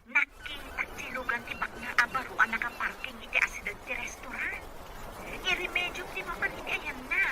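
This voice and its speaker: Woman acting a pushy high-voiced scheming friend. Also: moderate pace, heavy background noise, lightly acted. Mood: scheming, urging. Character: scheming, pushy young female friend, fun-seeking. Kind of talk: Ilocano audio drama acting.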